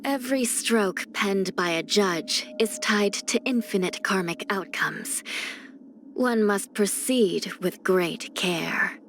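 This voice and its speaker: A woman's voice: medium pitch, a little hiss or hum, lightly acted. A woman as a weary, solemn young female judge. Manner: measured, grave, formal. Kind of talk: scripted video game character voice lines. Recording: music under the voice. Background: background music.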